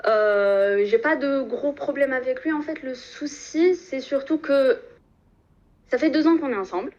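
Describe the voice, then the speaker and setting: A woman's voice: sits high, a little slow, a little mellow. A woman in her twenties from Algeria, calling into a live stream and talking with many fillers and restarts. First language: French. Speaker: caller. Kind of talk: phone call into a live stream. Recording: muffled phone call.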